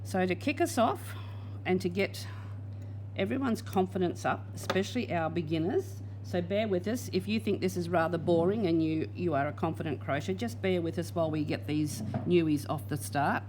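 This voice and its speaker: A woman teaching crochet step by step, patient and plain, her voice going hoarse. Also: fairly low pitch, normal speed, noisy background. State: losing her voice. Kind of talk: crochet tutorial.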